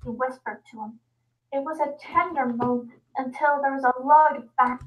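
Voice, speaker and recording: The recording is muffled and lo-fi. A woman with a high voice reads scripted story narration in an amateur dramatic style. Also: fairly even intonation, moderate pace.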